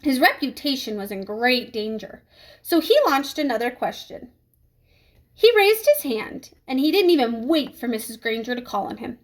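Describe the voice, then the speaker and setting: High-voiced woman reading a children's novel. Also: lively intonation, moderate pace. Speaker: storybook reader. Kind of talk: expressive scripted narration of a children's novel.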